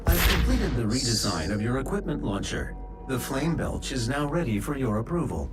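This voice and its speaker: A man's voice: medium pitch, sing-song, normal speed, lightly acted. A man playing a dutiful ship's artificial intelligence in a video game, speaking formally and precisely. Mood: helpful, formal. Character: dutiful male artificial intelligence aboard a spaceship. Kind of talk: video game character dialogue. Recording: loud music behind the voice.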